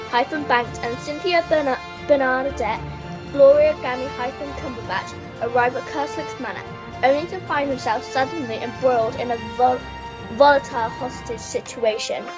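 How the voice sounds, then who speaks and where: A woman's voice: sits high, close to an everyday voice, rough recording. A woman playing the theatrical narrator of a scripted radio melodrama.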